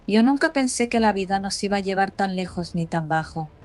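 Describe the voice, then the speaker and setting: A woman's voice: mid-range voice, expressive, a little fast, a little hiss or hum. A woman reading a scripted first-person horror story as a narrator. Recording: voice over background music. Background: music.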